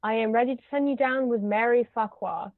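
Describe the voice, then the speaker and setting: Phone-like audio, woman acting an older aristocratic aunt, highish voice, imperious, stately, stage comedy. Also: fairly quick, no echo, natural voice. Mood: condescending. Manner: stately, haughty. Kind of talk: table read of a stage comedy. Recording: thin phone-like call audio.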